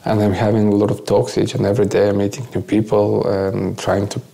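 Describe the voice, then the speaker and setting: Low, creaky Bulgarian-accented man. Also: monotone, brisk, warm tone. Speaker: interview guest, volunteer. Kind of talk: conversational interview answers.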